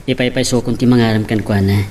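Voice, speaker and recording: A man's voice: medium pitch, steady tone, quick pace, darkish tone, a little hiss or hum, natural voice. A man with an Ilocano accent acting a confident rival in love in a romance audio drama.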